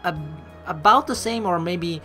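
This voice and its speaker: A woman's voice: lowish voice, unhurried, sharp tone. A woman hosting a let's play with casual, rambling and informal commentary, over game music. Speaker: let's player, host. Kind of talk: casual gaming commentary, reading game text aloud. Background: game music faintly behind the voice.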